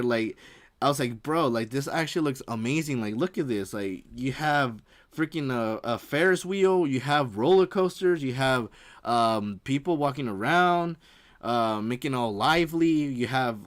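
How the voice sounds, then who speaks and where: Rambling young man reacting to a video. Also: mid-range voice. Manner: rambling, heavy use of "like" and "dude". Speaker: reaction streamer. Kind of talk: casual reaction commentary.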